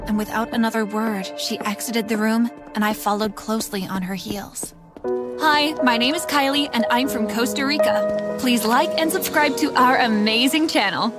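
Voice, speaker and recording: A young woman narrates a story in the first person with dramatic, scripted delivery. Her voice is high, and music plays prominently behind it. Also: moderate pace.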